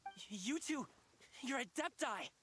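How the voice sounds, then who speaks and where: A woman's voice: sits high, lively intonation, unhurried, a bit bright, a little hiss or hum. A woman voicing a brash, aggressive young male martial dancer in a video game, rude and pushy. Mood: gleeful. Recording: background music behind voice.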